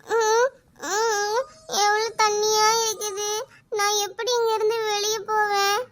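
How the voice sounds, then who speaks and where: A woman's voice: extremely high pitch, monotone, takes it slowly, a bit bright, exaggerated voice. A woman voicing a frightened little girl trapped by floodwater, tearful and pleading. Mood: scared, tearful.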